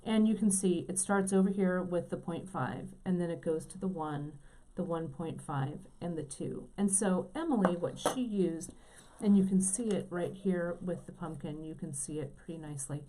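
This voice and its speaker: A woman's voice: on the low side, moderate pace, warm tone, slight background noise, poor audio. A woman co-hosting a casual beading demonstration, talking enthusiastically with lots of fillers. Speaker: co-host. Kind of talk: casual chat, craft demonstration.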